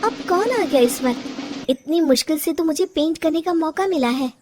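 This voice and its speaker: A woman playing an unhappy, resentful young wife in an animated moral story, with a plaintive, sharp-tongued delivery. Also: sits high, normal speed, soft background music. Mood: irritated.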